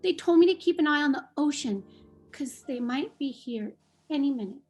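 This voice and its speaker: Woman as a high-voiced, stubborn, hurt little girl. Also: animated, normal speed, a little mellow, soft background music. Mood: hurt, insistent. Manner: plaintive, insistent. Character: Lorena, hurt, stubborn young Cuban immigrant girl. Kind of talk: dramatic play table read.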